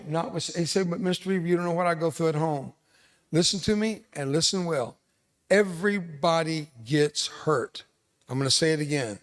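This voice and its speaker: An older man from South Texas, a Spanish speaker from childhood, delivers an emphatic motivational talk to an audience. His voice sits a little high, and he drives his lessons home by repeating them. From South Texas, USA. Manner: emphatic, repeats key lines, addresses audience directly. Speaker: veteran speaker, resiliency trainer. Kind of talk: motivational speech.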